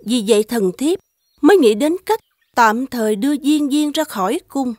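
A woman voicing a shrewd, scheming empress in an animated fairy tale, speaking in a measured, courtly way. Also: sits high, no echo, clean studio sound. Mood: persuasive. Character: shrewd, suspicious, scheming empress, soft-spoken to her emperor. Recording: music playing behind the voice.

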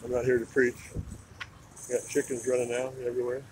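A man's voice: mid-range voice, monotone, brisk, rough recording. A man from Idaho in the US giving laid-back, chatty commentary as he films a walk on a town roadside with a handheld phone. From United States (Idaho). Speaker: vlogger on a walking tour. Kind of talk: casual walking commentary. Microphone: handheld phone camera. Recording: outdoor phone recording, clear traffic noise. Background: passing vehicles and street ambience.

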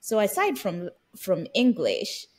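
A woman in her thirties from Zambia and Zimbabwe, co-hosting a podcast from home over a video call, reflective and quick to laugh. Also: medium pitch, wildly swooping pitch, slight background noise. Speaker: podcast co-host. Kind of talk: casual conversational chat. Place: small room at home.

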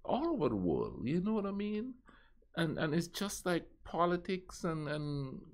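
A man's voice: on the high side, sing-song, a little slow. A middle-aged man reacting casually to a video, rambling and repeating words as he thinks, at his desk indoors. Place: indoor room with gaming chair.

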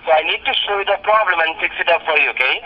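A man's voice: fairly high pitch, racing, slight background noise. A man working as a call center tech support caller, giving insistent scripted instructions and saying sir, heard through a phone speaker. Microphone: phone speaker recorded by a nearby camera. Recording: dull speakerphone call picked up by camera.

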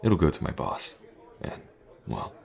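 A man playing a tough, teasing fantasy mercenary in an audio roleplay, speaking in an unhurried, gravelly murmur with pauses. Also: natural voice. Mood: calm, assured. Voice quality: gravelly, breathy low murmur. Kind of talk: immersive fantasy audio roleplay, intimate improvised monologue.

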